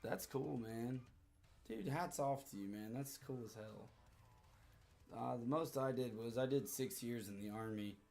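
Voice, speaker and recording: A man in his thirties with a hoarse voice, chatting casually and profanely with his livestream audience. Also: medium pitch, a little slow, darkish tone. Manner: rambling, profane, joking with chat.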